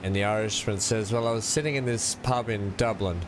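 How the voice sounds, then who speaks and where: A man's voice: lowish voice, fairly even intonation, a little fast, background noise. A man with an Australian accent hosting a game stream, chatting casually and laughing often. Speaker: gaming channel host.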